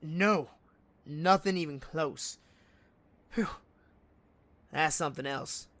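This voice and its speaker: A man's voice: on the high side, takes it slowly, fairly bright tone. A man playing an awed, bumbling junior police officer in an audio drama, speaking hesitantly. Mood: curious, awed. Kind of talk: naturalistic audio drama acting.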